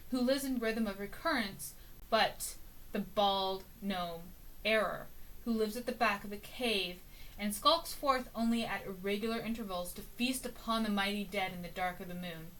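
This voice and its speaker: A woman reading aloud from book notes in a casual, musing way, with many ums and uhs, often trailing off softly. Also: medium pitch, normal speed, rough recording. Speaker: book reader and annotator.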